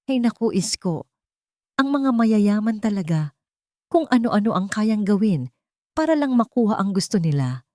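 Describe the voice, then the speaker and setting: A woman's voice: mid-range voice, big pitch swings, moderate pace, pauses often, dark tone, dry recording, clean studio sound, voice pushed up, close to an everyday voice. A woman voicing a protective, comforting older village woman in an audio drama story. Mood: indignant, reassuring.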